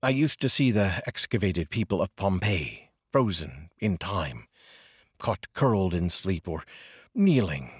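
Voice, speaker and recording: A middle-aged man reads poetry with deliberate, dramatic phrasing. His voice is very low and husky, and his pitch moves in lively sweeps. He is close on a studio microphone in a dry space. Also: no echo. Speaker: poetry reader, channel host. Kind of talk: expressive poetry reading. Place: small curtained home studio, indoors. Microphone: close studio microphone on boom arm with pop filter.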